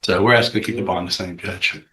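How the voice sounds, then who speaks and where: A man arguing as a defense attorney in court, halting and often repeating his words as he goes. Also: low-pitched, steady tone, sharp tone. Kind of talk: courtroom argument.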